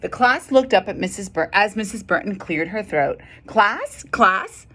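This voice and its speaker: A woman's voice: mid-range voice, lively intonation, normal speed, fairly bright tone. A woman educator reading a children's storybook aloud and speaking directly to her young listeners. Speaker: school educator reading to students.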